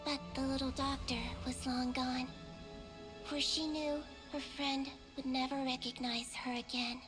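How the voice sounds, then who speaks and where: An actress playing a gentle young female doctor of fairy folk, telling a story earnestly and softly in a video game. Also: high voice, level tone, measured pace, music in the background. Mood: storytelling, wistful. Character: gentle Melusine doctor in human form, youthful female storyteller.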